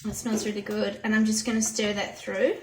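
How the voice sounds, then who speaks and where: A hoarse woman chatting through a cooking demonstration in her home kitchen, explaining each step plainly. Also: mid-range voice, level tone, a little fast, darkish tone, slight background noise. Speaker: home cooking channel host. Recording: roomy kitchen audio from a distance.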